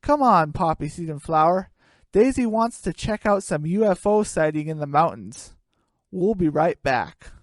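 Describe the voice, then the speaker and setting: A cautious chicken guardian played by an adult performer with a lively, dry-recorded voice. It sounds sensible and put-upon, in a homemade comedy radio play. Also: mid-range voice, unhurried, no echo, natural voice. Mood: resigned.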